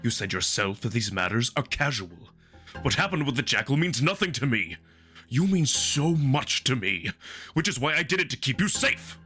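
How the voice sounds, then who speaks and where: A man voicing a proud, earnest wolf alien in a visual novel drama, formal and emphatic, quick to defend himself. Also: mid-range voice, very animated, pushed and bright, soft background music. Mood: hurt, restrained.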